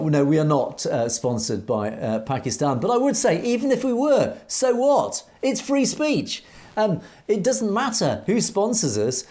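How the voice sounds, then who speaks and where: A man's voice: sits high, a little mellow. Earnest man hosting a news commentary. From Europe. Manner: earnest, emphatic, rhetorical questions. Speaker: co-host of a news commentary show. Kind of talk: commentary and reading viewer comments.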